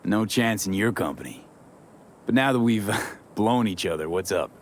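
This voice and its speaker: An actor playing a laid-back, wry young American mercenary in a video game, dry and casual. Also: male voice, fairly low pitch, lively intonation, moderate pace, slight background noise, lightly acted. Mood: playful. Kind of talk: video game dialogue acting.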